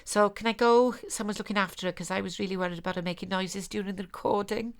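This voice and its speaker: A woman's voice: medium pitch, steady tone, quick pace. A woman, an actor chatting casually. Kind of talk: casual chat.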